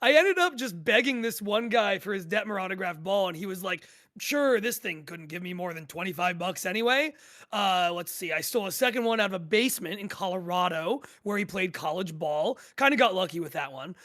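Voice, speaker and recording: A man's voice: high voice, expressive, fairly quick, a bit bright. A man playing a talkative, easygoing treasure hunter, telling his story in a casual, rambling way. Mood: casual, pleased. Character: talkative, easygoing treasure-hunting game player. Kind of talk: acted casual storytelling in a table read.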